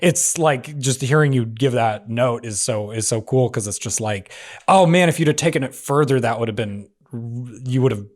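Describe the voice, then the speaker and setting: A man's voice: mid-range voice, normal speed, a little mellow. Male panelist, mid-range voice, casual, effusive, stammering start-and-stop delivery. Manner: effusive, stammering, repeats words. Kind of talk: casual script discussion.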